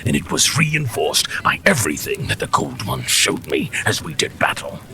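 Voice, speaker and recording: An actor playing an arrogant, resentful male fire-primal warlord in a fantasy audio drama, sneering and boastful, with a gravelly voice. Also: deep voice, wildly swooping pitch, normal speed, bright, forceful tone, a little hiss or hum, exaggerated voice. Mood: bitter, indignant.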